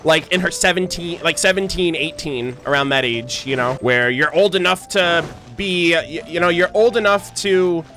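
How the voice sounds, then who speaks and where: A woman's voice: fairly low pitch, normal speed, few pauses, a bit bright. Casual, sweary woman streamer over game music. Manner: casual, sweary, hyperbolic slangy reactions. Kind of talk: live gameplay commentary and reaction.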